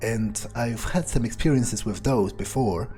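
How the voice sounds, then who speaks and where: A man's voice: medium pitch, unhurried, warm tone, faint music behind, close to an everyday voice. Man playing a musing, condescending vampire. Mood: grudging, calculating. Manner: hesitant, musing aloud. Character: scheming, condescending male vampire, reluctant servant of a prince. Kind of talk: improvised in-character game playthrough monologue.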